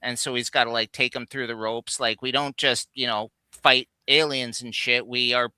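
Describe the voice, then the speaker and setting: Guy explaining geek stuff casually, really bright clear voice, feels like hes feeling his way thru it. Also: mid-range voice, fairly even intonation, moderate pace, no echo. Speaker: guest co-host on a geek countdown livestream. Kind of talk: casual chat.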